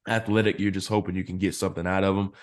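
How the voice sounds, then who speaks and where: Low deep voiced guy talking draft picks, bit hoarse, steady and analytical. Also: rapid-fire. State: losing his voice, clearing throat. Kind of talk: casual podcast interview.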